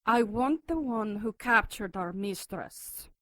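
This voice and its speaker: A woman's voice: medium pitch, takes it slowly, a bit bright. An actress playing a cruel, commanding female demon in an audio drama, menacing and savouring.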